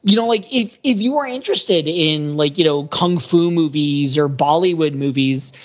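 A man's voice: on the high side, moderate pace. A middle-aged man talks as a panelist in a casual chat. He presses his points emphatically and checks for agreement as he goes. The recording is slightly dull and lo-fi. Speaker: podcast panelist.

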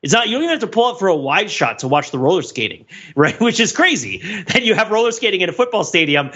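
A man hosts a casual podcast in a fairly high, very lively voice. His tone is bright and forceful, and he digresses freely, tossing in "like" and "right?" as he goes. The recording is slightly dull and lo-fi. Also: fast talker. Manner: animated, digressive, peppers talk with "like" and "right?". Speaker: podcast host. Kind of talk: casual podcast discussion and critique.

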